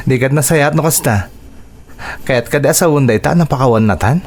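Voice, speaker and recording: A man's voice: mid-range voice, moderate pace, close to an everyday voice. A man voicing a humble young husband who works as a tricycle driver, talking in a plain, easygoing way in a radio drama.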